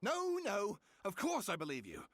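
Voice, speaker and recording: A polite, socially awkward young scholar in a video game. His fairly high voice swings dramatically in pitch, earnest and curious yet hesitant and flustered. A little noise sits in the background. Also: huge pitch swings, normal speed.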